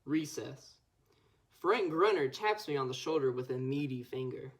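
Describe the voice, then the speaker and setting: A young female Scout reading a children's picture book aloud, with expressive storytelling. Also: extremely low pitch, moderate pace. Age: child or teenager. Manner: expressive storytelling with acted dialogue. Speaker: Scout volunteer reader.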